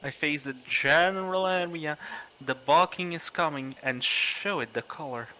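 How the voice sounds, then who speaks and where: A man's voice: on the high side, a little slow, pushed and bright, slight background noise, rough recording. A man reading a horror visual novel aloud on a livestream, with casual commentary in between. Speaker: livestreamer playing a horror game.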